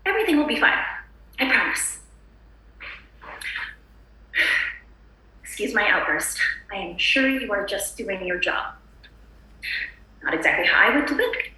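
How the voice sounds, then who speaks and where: A very bright, piercing suburban housewife played by a woman at a table read. She is frazzled and over-polite, swinging widely in pitch as she insists with brittle cheer. The room rings heavily around her. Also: sing-song, moderate pace, pauses often. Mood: strained optimism. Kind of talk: screenplay table read acting. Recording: very echoey room recording.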